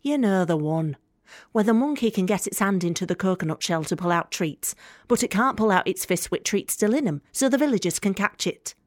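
An actress playing a young student, guarded, sardonic and candid, in an intimate monologue for an audio play. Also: female voice, mid-range voice, lively intonation, a little fast, no room sound, clean studio sound, close to an everyday voice. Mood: wry, confessional. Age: young adult. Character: guarded, sharp-tongued young female student, hurt but hopeful.

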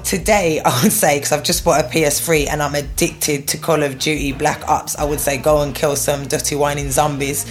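A woman's voice: deep voice, steady tone, moderate pace, hardly any pauses, faint music behind. A woman who raps, answering interview questions in a frank, defiant, casual way. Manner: frank, defiant, laughs easily. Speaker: rapper being interviewed.

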